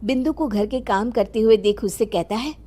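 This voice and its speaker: High-pitched woman narrating a family story. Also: moderate pace, dark tone. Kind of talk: scripted story narration for an animated family drama.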